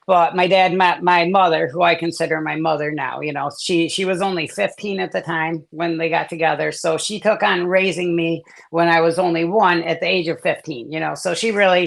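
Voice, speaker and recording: Gravelly-voiced man sharing a rambling testimony. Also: a little high, a bit bright. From born in South Korea, raised in the US. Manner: rambling, many fillers and restarts. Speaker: guest. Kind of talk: casual testimony on a live stream.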